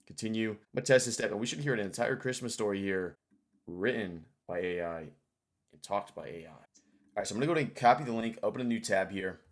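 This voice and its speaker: Low-pitched man, casual tutorial host. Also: fast. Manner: informal, thinking aloud. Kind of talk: casual screen-share tutorial.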